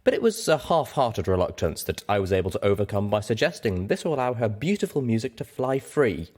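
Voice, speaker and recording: Low-pitched man reading a story. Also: big pitch swings, brisk, a little mellow. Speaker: audiobook reader. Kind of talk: scripted first-person story narration.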